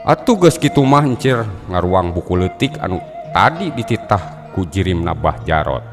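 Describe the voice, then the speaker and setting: A man telling a traditional folk tale in a measured, dramatic way, with folk music playing behind. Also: sits low, sing-song. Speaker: storyteller. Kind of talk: traditional storytelling narration.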